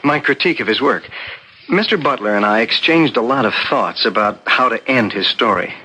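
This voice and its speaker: Uneasy, earnest man, bright voice. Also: medium pitch, lively intonation, moderate pace. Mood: earnest, insistent. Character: uneasy, puzzled writing adviser Mister Monroe, conscientious man. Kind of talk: 1970s radio drama acting. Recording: noisy old radio broadcast recording.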